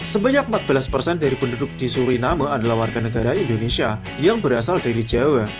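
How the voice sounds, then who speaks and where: A man narrates a list video in a casual, friendly script, often calling out to his viewers. His pitch moves around a lot as he reads. Loud guitar music runs under his voice. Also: expressive, quick pace. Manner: casual, addresses viewers as guys.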